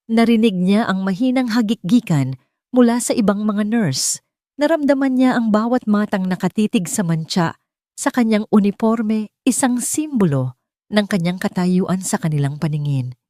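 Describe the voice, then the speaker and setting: A woman narrating an audio drama in a measured, dramatic storytelling manner. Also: medium pitch, lively intonation, dark tone, dry recording, clean studio sound.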